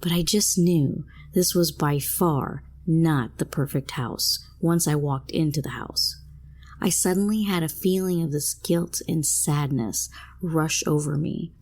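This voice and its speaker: A woman with a deep, husky voice narrates a creepy tale in the first person. Her delivery is that of a storyteller reading a prepared script. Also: unhurried, darkish tone. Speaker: narrator of listener-submitted scary stories.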